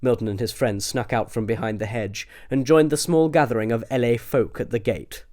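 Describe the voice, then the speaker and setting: A man's voice: mid-range voice, fairly quick, a little mellow. A man reading a scripted comic audiobook as narrator.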